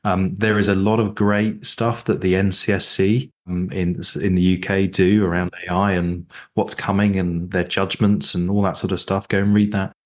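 A man with a very low, deep voice, talking as an interview guest about security. He speaks emphatically, repeating his points and often restarting his sentences with fillers. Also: flat delivery, normal speed, few pauses. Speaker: interview guest, cybersecurity executive. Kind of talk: sponsored interview.

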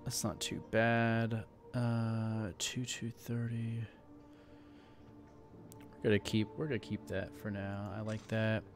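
Slow, low-voiced male gamer, faint music. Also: flat delivery. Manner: laid-back, thinking aloud. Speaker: gaming video host. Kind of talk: casual let's play commentary. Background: faint game music.

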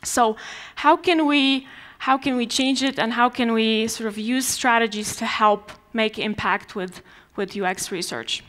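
A woman's voice: on the high side, fairly even intonation, measured pace, a bit bright. Polish-accented woman giving a conference talk. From Poland. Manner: conversational with frequent restarts and fillers. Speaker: conference speaker. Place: large dark conference stage before an audience.

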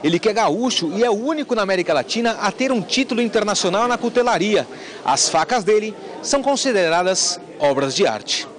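Young brazilian guy reporter reading a news piece, high voice, very animated intonation. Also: a little fast. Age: young adult. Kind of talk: scripted news report narration.

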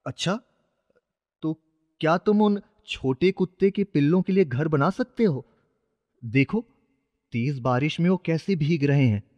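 A man plays a poor, good-hearted shoe-shine boy in a children's cartoon story. The voice sits fairly high and sounds earnest and pleading. A long reverb hangs on it and the sound is slightly dull. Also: lively intonation, measured pace, pauses often, darkish tone. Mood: amazed. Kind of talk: dramatized children's moral story.

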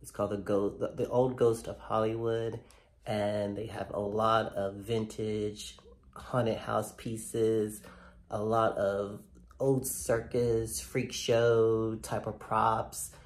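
Chatty thirties male vlogger, stuffy and nasal, low and gravelly, phone selfie sound. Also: flat delivery, slow pace. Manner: chatty, enthusiastic, addresses viewers directly. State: nasal from a cold. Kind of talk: casual vlog talk to camera. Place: bedroom indoors, later outdoors on a sidewalk. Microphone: phone at arm's length.

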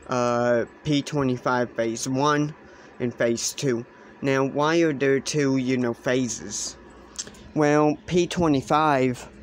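A man giving a casual explainer demonstration in a halting way, often repeating phrases, recorded on a handheld camera indoors. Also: mid-range voice. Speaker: hobbyist presenter. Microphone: handheld camera or phone. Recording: clear steady background noise, handheld camera.

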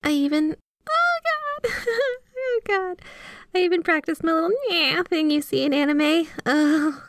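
A woman's voice: very high-pitched, expressive, a little slow, no echo, studio quality, full character voice. A woman playing a sweet, obsessively devoted yandere girlfriend in a scripted ASMR roleplay, gushing and bashful as she speaks to the listener. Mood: embarrassed. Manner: gushing, giggly, teasing, bashful asides.

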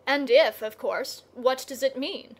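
A woman's voice: sits high, measured pace. A woman voicing a proud, hot-tempered male lion god with an emphatic, declamatory manner. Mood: questioning.

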